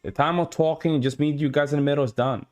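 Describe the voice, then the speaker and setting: A man's voice: a little high, fairly even intonation, fast, darkish tone. A man from Rico, a Spanish speaker, hosting a casual reaction stream in a blunt, dismissive, profane way. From Puerto Rico. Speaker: reaction video host. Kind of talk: casual live reaction commentary.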